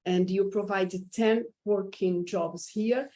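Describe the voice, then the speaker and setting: A woman's voice: mid-range voice, fairly even intonation, takes it slowly, dark tone. A woman with a Portuguese accent and Portuguese as her first language, explaining investment options hesitantly with many fillers. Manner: hesitant, many uh fillers, non-native phrasing. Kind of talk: informational talk.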